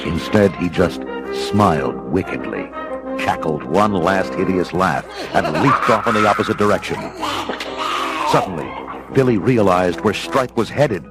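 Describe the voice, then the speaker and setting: A man's voice: low voice, animated, a little slow, rough recording. A man reading scripted, dramatic storybook narration. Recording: music behind the voice.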